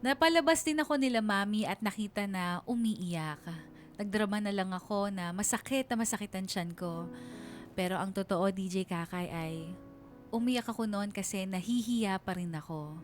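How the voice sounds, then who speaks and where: A woman's voice: medium pitch, normal speed, natural voice. A woman playing a young woman narrating her own love story in a confiding radio drama style. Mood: sheepish. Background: music under the voice.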